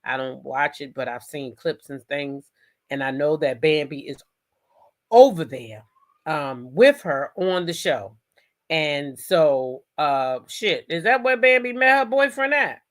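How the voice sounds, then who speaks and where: A woman's voice: deep voice, lively intonation, slow. An American woman doing casual live gossip commentary as host, sassy and emphatic, often repeating phrases for emphasis.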